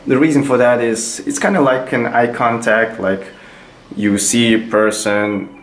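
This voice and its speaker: A man's voice: mid-range voice, steady tone, measured pace, slight background noise. A young man, casually explaining things in a tutorial vlog, rambling with many fillers and restarts. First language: Kazakh. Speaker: vlogger. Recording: echoey room with faint music.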